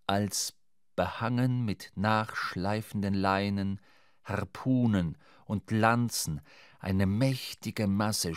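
A man's voice: lowish voice, no room sound. Male radio-play narrator, dry close sound. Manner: measured, dramatic storytelling.

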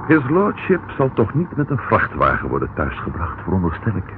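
An actor playing a dry, sceptical Scotland Yard inspector in a Dutch radio detective drama, with a measured way of talking. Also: male voice, sits low, wildly swooping pitch. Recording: tinny, band-limited, noisy old radio recording.

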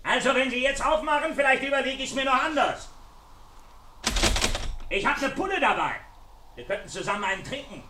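An actor playing a wounded, menacing intruder who mocks and taunts through a door in a German radio crime play. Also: male voice, very high-pitched, expressive, a little fast, pauses often, distant mic, background noise. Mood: goading. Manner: jeering, mock-polite, swinging from muttering to shouting. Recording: echoey radio play, off the mic.

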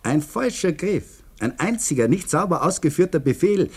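An actor with an Austrian accent playing a philosophical dam construction worker in a 1950s radio drama, reflective and earnest. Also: male voice, fairly high pitch, animated, normal speed, a little mellow, husky. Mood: earnest, musing. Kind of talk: 1950s German-language radio drama acting.

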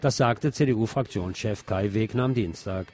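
A man's voice: fairly low pitch, unhurried, a little mellow. A man reads scripted news commentary aloud as a presenter. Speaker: podcast presenter reading press articles.

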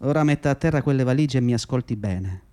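An actor playing a condescending, self-justifying labour agency manager in a radio drama, with patronising, hesitant, repetitive speech. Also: male voice, mid-range voice, expressive, quick pace, a little mellow, close to an everyday voice. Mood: irritated, patronising. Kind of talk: radio drama monologue and dialogue.

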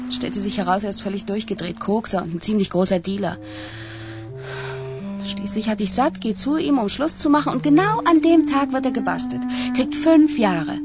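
A woman playing a candid, rueful young woman with a rough past in a radio crime drama, speaking in clipped colloquial sentences. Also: mid-range voice, lightly acted.

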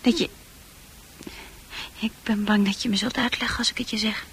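A woman playing a troubled, unsure teenage girl in a radio play, speaking intimately and hesitantly with a husky, breathy voice that often sinks to a near-whisper. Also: medium pitch, fairly even intonation, moderate pace, a bit bright. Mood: shy, tender.

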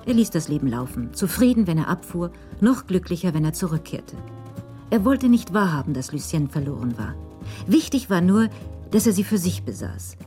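A woman reads scripted crime narration with measured, literary phrasing. Her voice sits on the low side and is dark and mellow, with some music around it. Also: sing-song.

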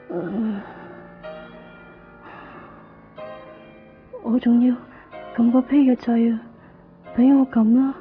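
Drowsy, plaintive young woman patient, tinny radio. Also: sits high. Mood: sleepy pleading. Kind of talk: radio drama acting with first-person monologue. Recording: tinny band-limited 1990s radio, faint music.

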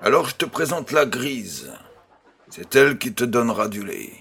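A man's voice: medium pitch, lively intonation, moderate pace, a bit bright, husky. An actor playing an affectionate old farmer grandfather, patient and encouraging, in a French audio drama.

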